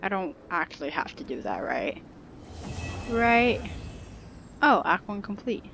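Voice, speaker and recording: A woman's voice: mid-range voice, sing-song, measured pace, creaky, noisy background. A woman giving casual let's play commentary, informal and reactive, thinking aloud as she plays. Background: game music.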